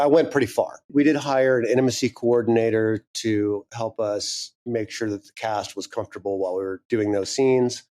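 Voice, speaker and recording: A man with a mellow, mid-pitched voice, talking as an interview guest about his own writing. He is candid and reflective, and now and then speeds up into quick bursts. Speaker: audio drama creator and writer.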